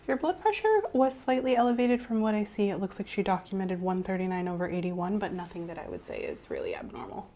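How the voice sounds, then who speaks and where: A soft-spoken woman playing a doctor in an ASMR exam roleplay, methodically asking questions in a reassuring way that often trails off quietly. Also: mid-range voice, very animated, quick pace, few pauses, a little hiss or hum. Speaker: ASMR creator playing a doctor taking a medical history. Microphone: clip-on mic.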